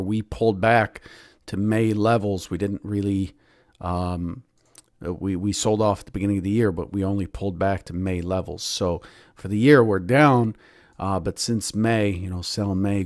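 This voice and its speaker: A man, a professional trader and show host, giving daily market analysis in a hoarse voice, clearing his throat often. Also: low-pitched, no echo, clean studio sound. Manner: steady analytical talk with frequent throat clearing.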